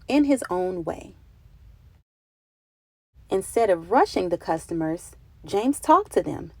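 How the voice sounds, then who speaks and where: Creaky-voiced woman reading a children's storybook. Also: mid-range voice, darkish tone, no room sound. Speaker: children's read-aloud narrator. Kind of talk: expressive storybook narration for children.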